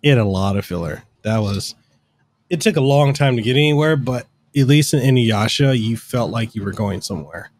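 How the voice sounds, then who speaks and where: A man's voice: medium pitch, brisk, a little mellow, dry recording. Man, podcast co-host, casual rambling talk, dry close studio mic. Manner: loose, rambling explanations with fillers. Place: home studio room. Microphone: studio mic on arm, headphones.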